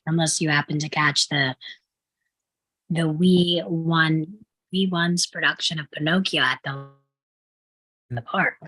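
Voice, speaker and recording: A woman's voice: deep voice, fairly even intonation, measured pace, long pauses, a bit bright, rough recording. An actress reading the part of a practical, teasing young woman in a sitcom table read.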